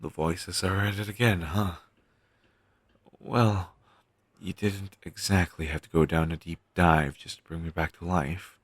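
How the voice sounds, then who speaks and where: A man playing a weary, caring undead best friend in an intimate audio roleplay, talking casually and earnestly with halting phrases. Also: deep voice, fairly even intonation, husky, no echo. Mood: worried, gentle. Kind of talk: audio roleplay, intimate one-sided dialogue.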